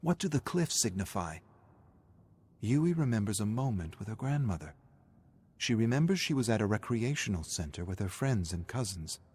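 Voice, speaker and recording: A man's voice: lowish voice, sing-song, brisk, a little mellow, husky. A man narrating a scripted dramatic story as its narrator.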